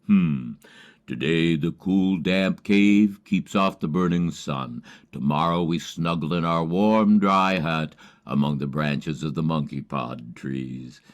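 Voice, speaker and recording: A man playing a laconic, easygoing, dry-humored backwoods survivor in an audio drama, speaking tersely and deadpan. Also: rumbling low, takes it slowly. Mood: calm, fond. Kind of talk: amateur audio drama acting.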